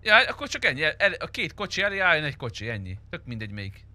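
A man chatting casually while roleplaying in a game, blunt and quick to swear. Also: a little high, wildly swooping pitch, bright, forceful tone, no echo. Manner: blunt, foul-mouthed.

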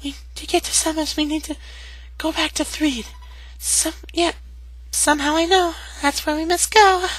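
A man's voice: very high-pitched, fairly even intonation, normal speed, fairly bright tone, slight background noise, poor audio. A man voicing a friendly, very girly character in a video game. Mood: sweet.

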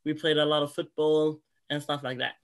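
A man's voice: fairly high pitch, normal speed. A young man from Congo, a guest singer, talking eagerly over a video call from a room at home, often restarting his sentences. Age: young adult. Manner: eager, excitable, many restarts. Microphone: laptop webcam on a video call.

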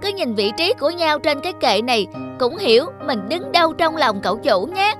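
A man's voice: extremely high pitch, big pitch swings, normal speed, over music. A man voicing a smug, boastful young award certificate in a cartoon, haughty and mocking. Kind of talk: cartoon character acting.

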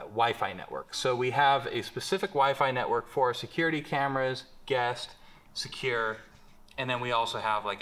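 A man's voice: mid-range voice, fairly bright tone. Casual young man explaining in a workshop. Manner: casual, filler-heavy, gestures while explaining. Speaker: company presenter. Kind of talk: casual product walkthrough. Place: large bare warehouse workshop, indoors.

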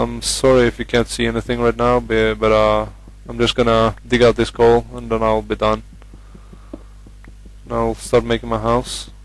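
A young man from Norway speaks English with a Norwegian accent while commentating a game. His delivery is flat and even, with little rise and fall. He hesitates often, filling gaps with ums and uhs as he chats. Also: mid-range voice, vocal fry, dry recording, poor audio. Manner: hesitant, many fillers, chatty. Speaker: gamer.

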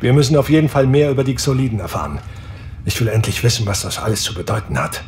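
A man's voice: medium pitch, fast, a little mellow, slight background noise, close to an everyday voice. A man playing an earnest young space hero who leads his crew, speaking steadily in an audio drama. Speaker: spaceship captain.

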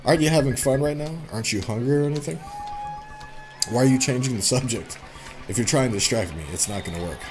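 A man's voice: medium pitch, quick pace, music in the background, voice pushed up, lightly acted. A man voicing a shy, dutiful young girl in a visual novel game, in a plain, unassuming way. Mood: uneasy.

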